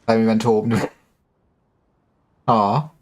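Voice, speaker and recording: A man's voice: on the low side, flat delivery, a little slow. A man giving casual gameplay commentary on a livestream, musing wryly to himself. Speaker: game livestreamer.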